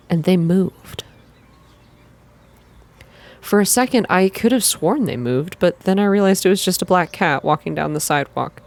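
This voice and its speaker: A woman reading a story aloud in an ASMR style, with expressive, deliberate first-person narration. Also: medium pitch. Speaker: reader of a visual novel. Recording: soft music behind the voice. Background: background music.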